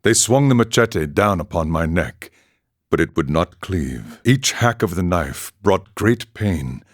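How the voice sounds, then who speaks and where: A man voicing a weathered, philosophical warrior monk and former chieftain in a video game, telling his tale in a measured, solemn, reflective way. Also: low voice, animated, no echo, studio quality. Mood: grim, calm. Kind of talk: scripted dramatic storytelling monologue.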